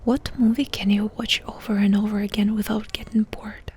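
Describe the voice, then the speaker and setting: A woman doing ASMR in a breathy whisper, softly and intimately asking questions with pauses between them. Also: mid-range voice, little pitch movement. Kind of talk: whispered ASMR personal-attention roleplay.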